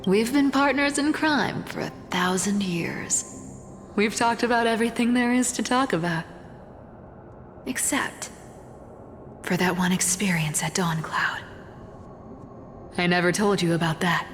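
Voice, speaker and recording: A woman's voice: medium pitch, a little slow, pauses often, lightly acted. An actress playing a sly, world-weary female trickster thief in a video game, guarded, proud and knowing. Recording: long reverb under clear music.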